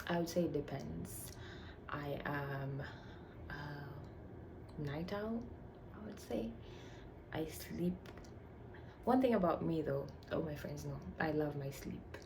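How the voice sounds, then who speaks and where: A young woman from Tanzania chatting casually to camera about herself, playful and chatty, dipping into whispery murmurs. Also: sits low. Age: young adult. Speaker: vlogger. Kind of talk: casual get-to-know-me chat. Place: shared home room. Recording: noisy home recording with roommates behind. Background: household noise.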